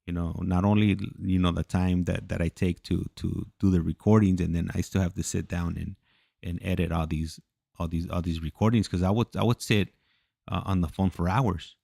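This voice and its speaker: A man chatting casually as he hosts a livestream, halting, repeating himself and losing his thread. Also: sits low, steady tone, vocal fry, dark tone, dry recording, clean studio sound. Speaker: livestream host. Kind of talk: casual rambling livestream chat.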